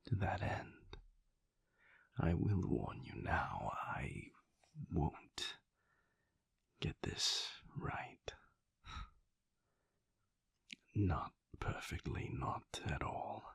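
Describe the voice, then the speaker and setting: Man as whispering, slow-spoken male vampire lover. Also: rumbling low, very animated, no echo, clean studio sound, full character voice. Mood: earnest, tender. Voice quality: breathy, husky whisper with gravelly creak. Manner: halting, measured, formal wording. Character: mysterious brooding male vampire lover, tender and hesitant. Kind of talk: intimate whispered audio roleplay.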